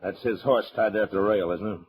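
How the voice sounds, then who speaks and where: A man's voice: fairly low pitch, a little fast, lo-fi sound, slight background noise, close to an everyday voice. A man playing a stern, curt frontier marshal. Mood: stern, firm. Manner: curt, commanding, steady. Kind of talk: old-time western radio drama acting.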